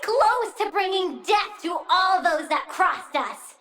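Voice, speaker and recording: A woman's voice: very high voice, expressive, slow, fairly bright tone, distant mic, soft background music, exaggerated voice. An actress voicing a young girl plant villain in a video game, bossy, doting and vengeful, with a childish, petulant, cutesy menace. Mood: gleeful menace.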